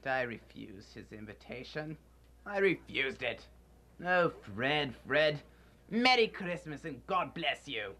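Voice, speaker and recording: An actor playing a humbled, earnest old miser in a radio drama, solemn and pleading. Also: male voice, fairly high pitch, very animated, slow, pushed and bright, exaggerated voice. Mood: regretful. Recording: very noisy student radio recording.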